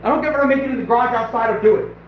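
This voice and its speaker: A hoarse man who is losing his voice, rambling excitedly and bluntly as he hosts a casual outdoor livestream. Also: very high voice, racing, a little hiss or hum. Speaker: trapper and channel host. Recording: very echoey, distant, dull and lo-fi.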